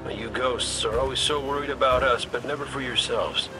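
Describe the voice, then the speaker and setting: A man's voice: mid-range voice, steady tone, a little fast, over music, close to an everyday voice, low-quality recording. A man playing a wry, weary but stubborn gunslinger in a video game, with a folksy, casual way of talking. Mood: wistful, wry.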